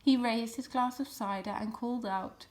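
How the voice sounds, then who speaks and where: Woman, storytime reader, children's narration, fairly high pitch. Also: moderate pace.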